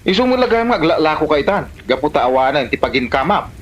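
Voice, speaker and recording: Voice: medium pitch, very animated, fast talker, lo-fi sound. Actor as swooping, teasing Ilocano village neighbour. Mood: teasing, gossipy. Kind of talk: Filipino comedy drama dialogue.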